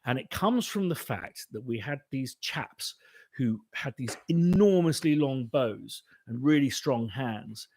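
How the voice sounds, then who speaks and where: A man's voice: mid-range voice, animated, a little slow, darkish tone, slight background noise. A British man answering viewers' questions in a casual live chat, rambling with many restarts and fillers. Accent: British English. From Britain. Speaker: composer hosting a live Q&A.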